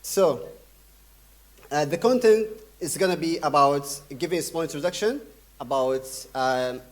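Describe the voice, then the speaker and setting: Young male keynote speaker from Sudan, slightly high voice, hesitant delivery full of fillers. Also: expressive. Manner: halting, many fillers and restarts. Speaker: conference speaker. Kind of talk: conference keynote talk.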